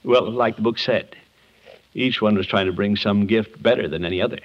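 A man's voice: on the low side, moderate pace, lightly acted. An American actor in 1950s radio style playing a kindly, sheepish middle-aged man who tells a Christmas story expressively and unhurriedly. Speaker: lead actor of a radio comedy. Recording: dull vintage 1950s radio recording.

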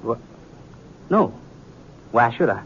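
Earnest young western sheriff, muffled. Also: male voice, big pitch swings, slow pace, a bit bright, breathy edge, full character voice. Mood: firm, resolute. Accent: American. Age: young adult. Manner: plain-spoken, earnest. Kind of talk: 1950s radio western acting. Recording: muffled, rumbly 1950s radio recording.

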